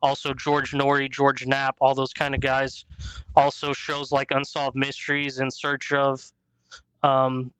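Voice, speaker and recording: A man's voice: mid-range voice, monotone, measured pace, a bit bright, clear, resonant tone, no echo, low-quality recording. A man in his thirties, a guest podcast host chatting casually and easily about his show. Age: 30s. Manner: easygoing, chatty, storytelling.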